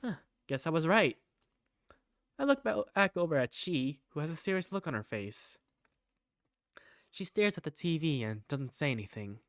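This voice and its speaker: A woman's voice: sits low, big pitch swings, moderate pace, pauses often, no echo, studio quality. A woman playing an easygoing young protagonist, narrating and speaking in a visual novel fandub in a casual, wry, playful way. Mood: laid-back.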